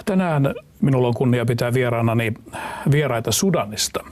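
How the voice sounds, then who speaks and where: A man's voice: medium pitch, animated, fast, darkish tone. A man with a Finnish accent, hosting a broadcast interview. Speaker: TV interview host.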